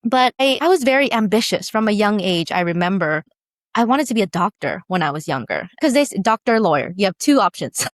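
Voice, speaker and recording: A woman's voice: medium pitch, no echo. Chatty woman telling her story, dry sound. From Vietnam, raised in San Diego. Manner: lively, chatty, quick to laugh. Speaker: guest, beauty brand founder. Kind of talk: casual storytelling interview.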